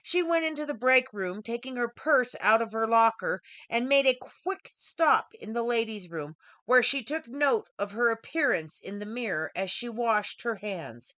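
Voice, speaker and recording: A woman's voice: fairly high pitch, lively intonation, moderate pace, few pauses, sharp tone, no room sound. A woman author reading her own short story as scripted audiobook narration.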